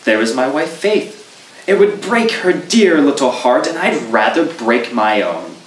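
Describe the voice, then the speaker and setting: A man's voice: on the high side, big pitch swings, moderate pace, reverberant, far from the mic, slight background noise, voice pushed up, rough recording. A man voicing an anxious, earnest young Puritan man. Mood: nettled.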